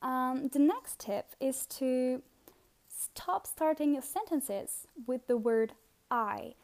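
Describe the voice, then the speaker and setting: A young woman with a French accent, talking through listening tips on her own podcast in a relaxed, chatty way with many fillers and repeated words. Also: high-pitched, slow pace. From France. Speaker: podcast host. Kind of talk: casual solo podcast advice.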